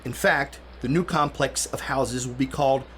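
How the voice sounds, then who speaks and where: An actor playing a smooth, evasive 1930s city planner in a radio drama, speaking in a polite, placating, measured way. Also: male voice, medium pitch, a bit bright, faint music behind, lightly acted. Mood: confiding, placating. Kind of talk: period radio drama acting.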